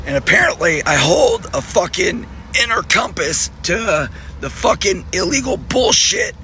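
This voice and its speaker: A hoarse male nurse, losing his voice, giving an angry, profane video appeal and clearing his throat often. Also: high-pitched, very animated, measured pace, sharp tone, slight background noise. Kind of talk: direct-to-camera video appeal.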